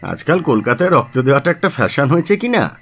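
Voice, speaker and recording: An actor playing an older small-town doctor in a radio play, grumbling, sardonic and avuncular. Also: male voice, mid-range voice, very animated, fast talker, fairly bright tone.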